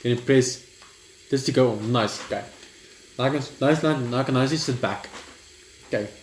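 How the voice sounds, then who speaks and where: A young man plays and talks through a game in a casual way. He reads aloud haltingly, tripping over words. The recording is echoey, with some noise behind him. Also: mid-range voice. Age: young adult. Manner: halting, stumbles when reading aloud. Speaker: gaming video host. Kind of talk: casual gameplay commentary and reading aloud. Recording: roomy echo, clear background noise.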